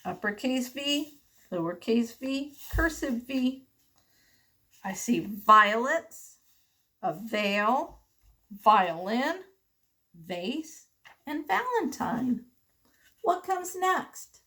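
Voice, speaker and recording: A woman preschool teacher reading the alphabet aloud to children in simple, deliberate, sing-song phrases with questions. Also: on the high side, sing-song, pushed and bright.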